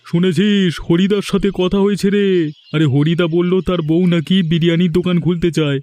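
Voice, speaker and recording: A man's voice: high-pitched, steady tone, brisk, dark tone, close to an everyday voice. A man playing a sly, conspiratorial village workman in a cartoon, explaining his schemes. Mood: scheming. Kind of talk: cartoon voice acting.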